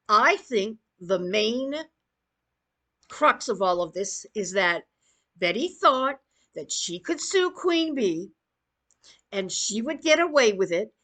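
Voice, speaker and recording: A woman's voice: medium pitch, fairly bright tone. A woman giving an opinionated rant as an online commentator, blunt, emphatic and scornful in her delivery. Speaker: YouTube commentator.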